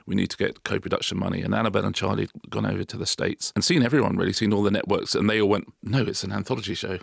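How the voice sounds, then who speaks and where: A man with a very low, deeply gravelly voice talks fast in a casual podcast chat, often restarting his sentences as he tells a story. The sound is close and dry, like a studio mic. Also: fairly even intonation, dry recording. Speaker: podcast co-host.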